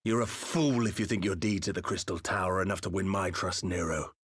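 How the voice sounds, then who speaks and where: An actor playing a gruff, distrustful hero in a video game, blunt and dryly exasperated. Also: male voice, sits low, fairly quick, a bit bright, dry recording. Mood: angry, suspicious. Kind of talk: video game cutscene acting.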